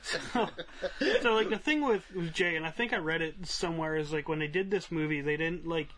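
A man's voice: a little high, brisk, a bit bright, low-quality recording. A man co-hosting a podcast and casually explaining his view of a film. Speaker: podcast co-host.

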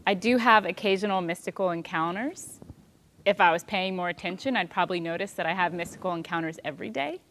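A woman in her thirties shares a reflective spiritual talk, thoughtful and unhurried. Her voice sits somewhat low. She pauses softly as she gathers her thoughts. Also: slight background noise. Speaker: speaker on prayer and spirituality.

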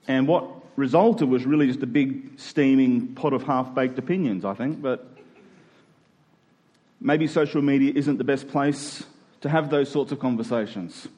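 An Australian man preaching a sermon as a church pastor, earnest and emphatic with deliberate phrasing. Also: mid-range voice, a little mellow, lo-fi sound.

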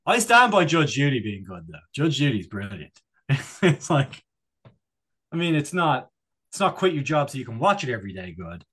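A man's voice: mid-range voice, sing-song, normal speed, fairly bright tone, breathy edge. A man chatting casually as a comedy podcast co-host, rambling and digressing with a jokey manner.

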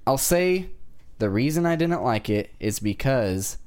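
A man's voice: medium pitch, lively intonation, measured pace, a little mellow. A man on a discussion panel, sharing his preferences in casual conversation. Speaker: podcast panelist. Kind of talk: casual chat.

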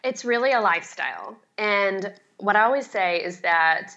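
Woman, middle-aged, bright forceful voice, chatty, self-deprecating, casual interview guest, slightly muffled lo-fi audio. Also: medium pitch, lively intonation, normal speed. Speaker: guest, home organizing expert.

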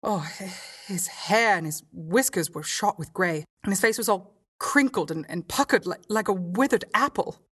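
A woman's voice: mid-range voice, normal speed, few pauses, a bit bright, slightly rough. A woman voicing a timid but shrewd young lady earnestly recounting what she saw. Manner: earnest, confiding.

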